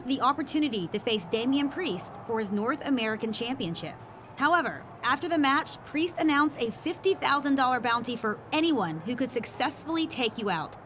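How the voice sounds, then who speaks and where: An actress playing a backstage wrestling interviewer in a video game, speaking in broadcast interview style. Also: female voice, sits high, expressive, a little fast, fairly bright tone, slight background noise. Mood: inquiring.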